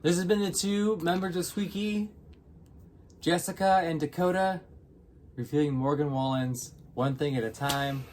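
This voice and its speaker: A man from near Chicago co-hosting a casual album review, hesitant, with many pauses and fillers. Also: a little high, a little mellow, a little hiss or hum. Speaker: music review co-host.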